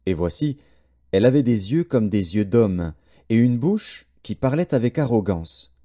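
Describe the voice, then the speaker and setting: Very dark-voiced man reading scripture. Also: on the low side, big pitch swings, a little slow. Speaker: audiobook narrator. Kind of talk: scripted scripture narration, Bible audiobook.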